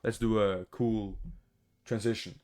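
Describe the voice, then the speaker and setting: A man's voice: fairly low pitch, fairly even intonation, unhurried, darkish tone. A man giving casual, rambling let's play commentary, blunt and given to swearing. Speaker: gaming video host. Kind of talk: casual let's play commentary, reading game text aloud.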